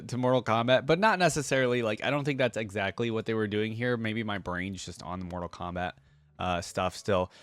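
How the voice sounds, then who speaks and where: A man with a medium-pitched voice gives informal reaction commentary as the host of a gaming video. He talks casually and thinks aloud as he weighs his opinions. The recording is close and very dry, with no room echo.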